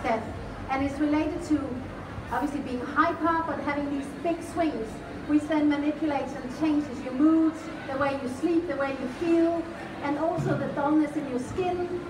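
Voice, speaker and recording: A woman's voice: high-pitched, noisy background. A middle-aged woman giving a live health talk on a stage, chatty and emphatic with restarts and fillers. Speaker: health speaker presenting to an audience. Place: large exhibition hall stage. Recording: echoey exhibition hall from far off.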